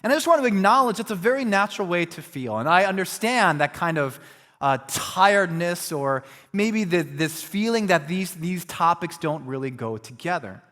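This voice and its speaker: A man's voice: high voice, expressive, moderate pace, a bit bright, long reverb tail. A man preaching as a pastor, earnest and reflective, talking through a hard question in a conversational way. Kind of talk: sermon.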